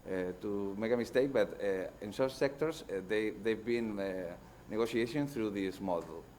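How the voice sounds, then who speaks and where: A man on a university seminar panel, speaking haltingly with many fillers. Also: on the low side, a little mellow, slight background noise. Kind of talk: academic panel discussion.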